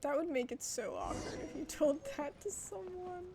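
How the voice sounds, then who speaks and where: Casting: chatty female streamer, informal and thinking aloud, very animated high voice, slight background rumble. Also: moderate pace, vocal fry, music in the background. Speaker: game streamer. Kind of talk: casual let's-play commentary.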